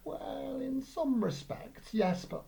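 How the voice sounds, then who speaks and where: A man's voice: extremely high pitch, lively intonation, slow pace, vocal fry, warm tone, pitched above the performer's own voice, full character voice, low-quality recording. A man voicing a wistful mother in her eighties, hesitant and reflective.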